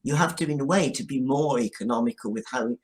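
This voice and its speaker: A man giving reflective interview answers as a guest, explaining things in a thoughtful, halting way. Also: mid-range voice. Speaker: guest, drama writer.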